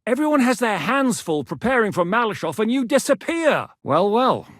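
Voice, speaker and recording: An actor playing a gruff, battle-hardened war commander in a video game, blunt and coarse as he barks orders. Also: sits high, big pitch swings, normal speed, a bit bright, no echo, clean studio sound. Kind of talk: game voice lines.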